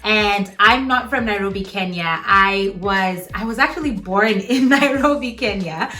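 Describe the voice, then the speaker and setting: An earnest young woman from Uganda speaks straight to her viewers at a medium pitch. She talks warmly, and small laughs slip in between her phrases. It sounds like a casual camera-mic recording made at home. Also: unhurried, soft background music. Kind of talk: casual faith vlog.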